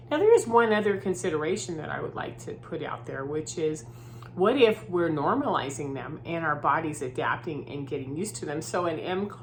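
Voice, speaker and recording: A woman with a slightly low voice who sounds groggy from a head cold. She talks in a loose, chatty way, with plenty of "um" and "right?". There is a faint hum behind her. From Southern California. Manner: chatty, filler-heavy, often adds "right?". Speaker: vlogger. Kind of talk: casual spoken vlog. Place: her new home, indoors.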